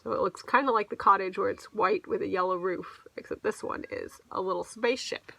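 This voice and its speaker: A woman teacher reading a picture book aloud to children, playfully expressive, stretching words for emphasis and adding asides. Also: medium pitch, a little fast, creaky, dry recording.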